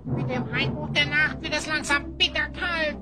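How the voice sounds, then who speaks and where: A woman playing a small, excitable, eager animal sidekick in a children's fantasy audio drama. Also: high voice, normal speed, lightly acted. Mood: eager, fretful.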